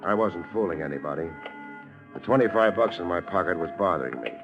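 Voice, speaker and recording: A hard-boiled American private detective from a vintage radio noir show. His voice is lowish and his delivery dry, sardonic and laconic, with music playing clearly underneath. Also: animated, a little fast, dull audio. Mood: weary, sardonic. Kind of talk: 1950s radio noir acting and narration.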